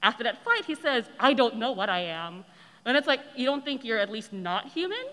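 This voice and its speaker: A woman's voice: fairly high pitch, very animated, fairly quick, a bit bright. A woman asking a casual fan question from the audience, rambling, hesitant and apologetic. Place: large indoor convention panel room. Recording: echoey hall, long reverb.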